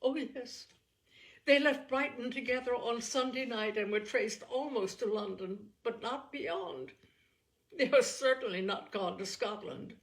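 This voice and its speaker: Woman acting out a distraught, high-pitched young gentlewoman. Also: measured pace. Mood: agitated, despairing. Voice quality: breathless, breaking voice. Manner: emphatic, anguished exclamations. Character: distraught young gentlewoman, breathless, agitated, near tears. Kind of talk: dramatised audiobook reading.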